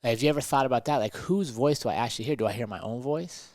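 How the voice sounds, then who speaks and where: Man, mid-pitched voice, motivational podcast coach, conversational, emphatic, rhetorical questions, dry close sound. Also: very fast, a little mellow, no room sound. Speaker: podcast host and self-improvement coach.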